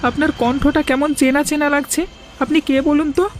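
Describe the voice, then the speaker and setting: A woman's voice: high-pitched, fairly even intonation, normal speed, darkish tone, soft background music, lightly acted. A woman voicing a timid, kind young village boy in a cartoon, talking in a cautious, worried manner. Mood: wary. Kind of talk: cartoon voice acting.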